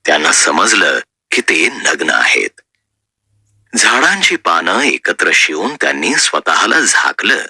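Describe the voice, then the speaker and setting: A man's voice: low voice, wildly swooping pitch, slow pace, sharp tone, no echo, studio quality. A man narrating a scripted Bible story as a storyteller.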